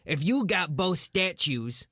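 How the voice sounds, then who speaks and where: A man's voice: high-pitched, lively intonation, takes it slowly, very noisy. A man voicing a suspicious, commanding gangster boss with tough old-time mobster slang in an audio drama.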